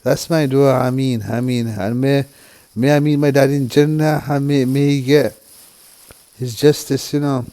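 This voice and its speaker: A man from the UK talks quietly to his viewers in a soft, mellow, rather dark voice. He speaks haltingly, with frequent restarts and "you know" fillers, sounding subdued and heavy-hearted. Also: medium pitch, fairly even intonation. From United Kingdom. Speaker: vlogger. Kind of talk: emotional personal monologue.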